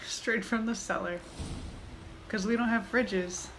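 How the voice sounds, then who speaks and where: A woman's voice: fairly high pitch, steady tone, unhurried, noisy background. A woman giving casual, explanatory commentary as she plays through a video game. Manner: informal, explanatory. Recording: loud game ambience behind voice.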